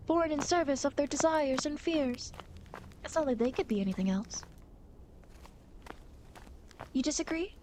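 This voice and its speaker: High-pitched teasing demon girl, acted by a woman. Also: slight background noise. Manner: theatrical, teasing, mock-grand phrasing. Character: playful teasing demon girl, smug guide of the afterlife. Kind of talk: ASMR roleplay, acted monologue to the listener.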